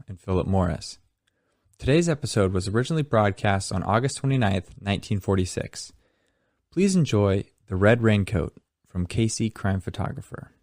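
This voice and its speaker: A man's voice: fairly low pitch, a little mellow, no room sound, clean studio sound. A man hosting a podcast, reading scripted narration. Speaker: podcast host.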